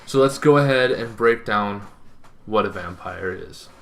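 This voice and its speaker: A young man hosting an informal explainer to camera, speaking emphatically with halting, dramatic pauses. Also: on the low side, creaky, soft background music. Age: young adult. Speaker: host of a tabletop gaming series. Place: bedroom, indoors.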